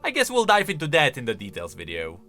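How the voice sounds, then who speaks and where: Male lore commentator: casual and animated, very lively pitch swings, forceful bright tone, thinks aloud. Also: quick pace, soft background music. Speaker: video host, game lore commentator.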